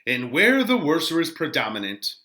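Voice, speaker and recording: A man as a slow, declamatory Shakespearean friar. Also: on the high side, wildly swooping pitch, bright, forceful tone, voice pushed up, exaggerated voice. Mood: declamatory, solemn. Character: contemplative friar musing alone on plants, virtue and vice. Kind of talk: dramatic Shakespearean verse reading.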